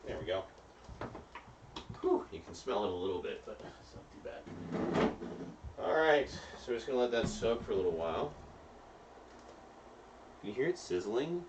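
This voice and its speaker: A man's voice: fairly low pitch, sing-song, normal speed, pauses often, recorded from a distance, background noise. A man cooking and cleaning in his home kitchen, chatting casually and rambling to viewers with lots of fillers. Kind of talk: casual live-stream chat.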